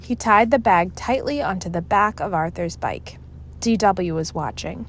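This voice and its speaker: A woman's voice: on the low side, animated, measured pace, a little hiss or hum. A woman narrating a children's chapter book aloud as a storyteller. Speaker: storybook reader.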